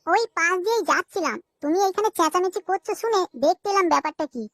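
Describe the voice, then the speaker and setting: Very high-pitched flirty cartoon sister-in-law. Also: female voice, quick pace, a bit bright, no room sound, clean studio sound. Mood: coy teasing. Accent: West Bengali. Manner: coy, teasing. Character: coy, flirtatious village sister-in-law, adult woman. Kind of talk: comic cartoon dubbing.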